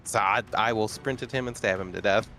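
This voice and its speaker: A man's voice: fairly low pitch, fairly quick, bright, forceful tone, faint music behind. A man playing in a tabletop roleplaying game, eagerly reading out his character's rules. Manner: eager, reads rules aloud. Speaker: player. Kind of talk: casual game talk.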